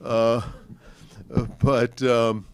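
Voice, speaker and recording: An older man with an American accent recounts family memories to an audience in a halting, deliberate, reflective way. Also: mid-range voice, flat delivery, clear, resonant tone. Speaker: panel speaker sharing family recollections. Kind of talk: unscripted storytelling to an audience.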